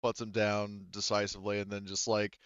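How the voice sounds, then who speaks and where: A man acting as game master, narrating a tabletop roleplaying game in a casual, halting, sweary way. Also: fairly low pitch, little pitch movement, fairly bright tone, clear, resonant tone, dry recording, lo-fi sound.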